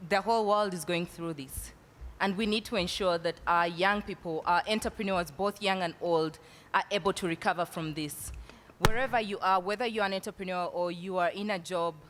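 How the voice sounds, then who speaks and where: A woman moderating a panel. She has a loud voice and uses lots of fillers. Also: normal speed. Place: large event hall. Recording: hall reverb, faint rumble.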